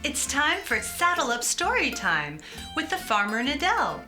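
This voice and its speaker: A woman's voice: high-pitched, very animated, moderate pace, over loud music. A woman co-hosting a children's story time, speaking in a cheerful, sing-song way. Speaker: co-host reading a picture book.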